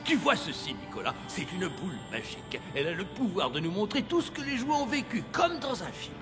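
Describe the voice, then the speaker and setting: A man's voice: high-pitched, very animated, brisk. A man voicing a kindly old Father Christmas in a cartoon, hearty and grandfatherly. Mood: conspiratorial. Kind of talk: animated cartoon dubbing. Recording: music behind the voice.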